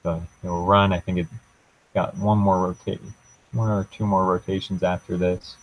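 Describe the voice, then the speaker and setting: Low, monotone young man presenting, halting delivery. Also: poor audio. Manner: hesitant, halting, informal. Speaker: student presenter. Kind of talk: technical project presentation with live software demo.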